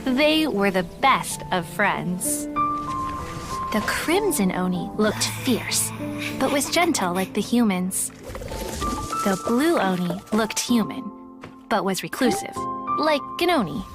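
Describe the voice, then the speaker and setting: A cool, formal investigator. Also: female voice, mid-range voice, very animated, over loud music. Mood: storytelling. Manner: measured, formal, coolly persuasive. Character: calm, composed female investigator. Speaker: bounty-setting official. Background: game score.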